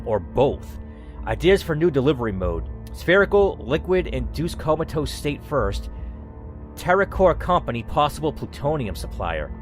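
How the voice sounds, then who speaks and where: A man reading an interactive story game aloud and stopping to think aloud about his choices, with the odd curse. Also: mid-range voice. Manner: reads in first person, then breaks off to think aloud and curse. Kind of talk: reading narration with playthrough commentary.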